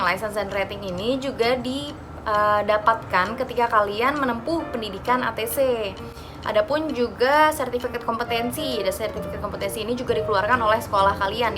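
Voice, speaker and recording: A woman with an Indonesian accent, explaining things in an informal, instructive vlog style as if talking to friends. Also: on the high side. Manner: conversational, instructive, addressing listeners as friends.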